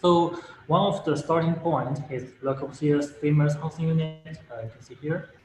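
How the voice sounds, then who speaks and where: A young man from Shanghai, presenting his graduate thesis in a steady, explanatory way with some fillers. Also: on the high side, normal speed. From Shanghai, China. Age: young adult. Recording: echoey room with faint noise.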